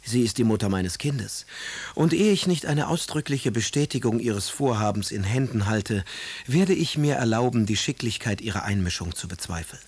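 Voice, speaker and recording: An actor playing a proud, aggrieved young poet husband who pleads in eloquent, theatrical phrases in a radio drama. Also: male voice, medium pitch, expressive, normal speed, a little mellow, slightly rough, dry recording. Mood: indignant. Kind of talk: German radio drama, letters read in character.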